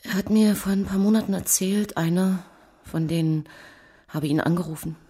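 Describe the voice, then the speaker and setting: Actress as low, husky, anxious wife. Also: female voice, normal speed, mellow, natural voice. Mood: hesitant, recalling. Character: anxious, guarded wife of a missing man. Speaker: actress in a radio drama. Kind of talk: German radio crime drama acting.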